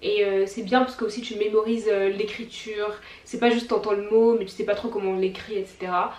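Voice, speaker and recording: A woman's voice: medium pitch, level tone, fairly quick, a little mellow, distant mic. A young woman from France chatting casually to her viewers, giggly and loose, with lots of fillers and slang. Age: young adult. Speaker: YouTuber. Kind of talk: casual vlog chat. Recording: roomy echo, a little away from the mic.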